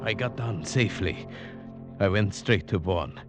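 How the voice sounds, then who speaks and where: An actor playing an uneasy sheriff who narrates a tense hunting tale in measured, brooding 1940s radio style. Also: male voice, low voice. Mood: uneasy, grave. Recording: 1947 radio broadcast with music behind.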